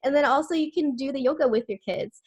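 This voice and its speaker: A woman's voice: sits high, quick pace, a little mellow. Chatty woman speaker, full of fillers. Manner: chatty with many fillers and restarts. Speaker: webinar speaker. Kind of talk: casual webinar answers.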